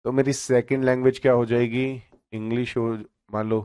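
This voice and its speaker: A man's voice: medium pitch, flat delivery, brisk, darkish tone, clear, resonant tone, no echo. Guy teaching exam prep, mid voice, dry close sound, keeps checking in with his students. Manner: explanatory, frequent "theek hai" checks.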